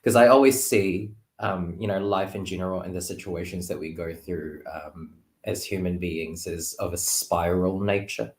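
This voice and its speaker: Deep voiced guy rambling thoughtfully on a stream, lots of likes and you knows, roomy echo. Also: fairly even intonation. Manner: halting, filler-heavy with "like" and "you know". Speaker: guest tarot reader. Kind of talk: casual reflective chat. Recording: roomy, echoing livestream call.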